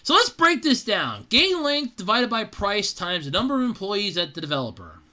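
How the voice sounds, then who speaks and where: A podcast host reading out an article with sarcastic, animated delivery and casual, profane asides. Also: mid-range voice, moderate pace.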